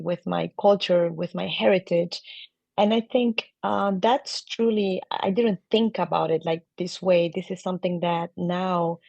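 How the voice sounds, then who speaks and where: Soft-spoken Peruvian-born woman, hesitant. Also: on the low side, vocal fry, no echo, muffled recording. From Peru. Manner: thoughtful, hesitant, many fillers, soft laughs. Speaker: film director guest. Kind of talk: podcast interview answers.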